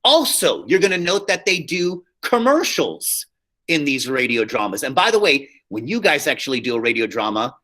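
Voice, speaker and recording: A man teaching a high school theater class online, explaining slides in a conversational, repetitive way. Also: very animated, moderate pace, a bit bright. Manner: conversational and explanatory, often repeats himself. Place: live online class over video call.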